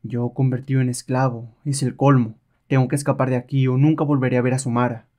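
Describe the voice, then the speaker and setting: A man's voice: mid-range voice, level tone, fast, darkish tone, natural voice. A man playing a sly, rebellious male adventurer held as a slave, mocking and self-assured, in a comic-book reading. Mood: bitter.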